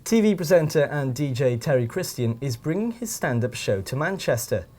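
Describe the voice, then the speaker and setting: A man's voice: mid-range voice, very animated, normal speed, a little mellow. A young man presenting a student TV news bulletin from a studio. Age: young adult.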